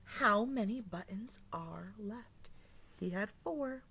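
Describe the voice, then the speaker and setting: Lady teacher reading a picture book to little kids, slow and patient, mid pitched voice, asks questions as she goes. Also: creaky, husky. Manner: animated, expressive storybook delivery with simple explanations and questions. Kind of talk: interactive read-aloud lesson with questions to listeners.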